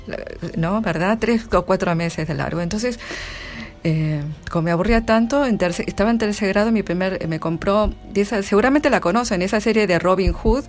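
A woman's voice: medium pitch, fairly quick, faint music behind. A middle-aged woman with an Argentine accent, a literary translator chatting in an interview with many restarts and frequent laughs. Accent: Argentine Spanish. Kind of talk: casual interview answers.

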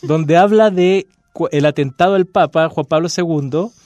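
A man's voice: on the high side, normal speed, darkish tone, no echo, faint music behind. A Chilean man on a university radio show, reading passionately with a repeating cadence, then chatting about a novel. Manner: impassioned, repetitive cadence when reading. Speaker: radio guest discussing a novel. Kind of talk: dramatic reading then casual talk.

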